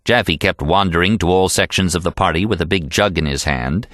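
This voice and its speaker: A man reading an audiobook in an expressive, animated storytelling style. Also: low-pitched, a little fast, no echo, clean studio sound. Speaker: audiobook narrator. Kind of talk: expressive scripted audiobook narration.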